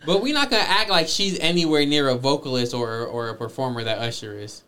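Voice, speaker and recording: A man's voice: medium pitch, brisk, pushed and bright, clear, resonant tone. A man co-hosting a podcast in casual chat, blunt and slangy, arguing his points and swearing freely. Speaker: podcast co-host.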